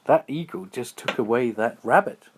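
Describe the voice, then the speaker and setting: A man's voice: mid-range voice, lively intonation, normal speed, slight background noise, pitched above the performer's own voice, natural voice. A man voicing a friendly, brave and loyal young-hearted adventurer in a children's bedtime story, speaking earnestly in simple exclamations. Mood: reassuring.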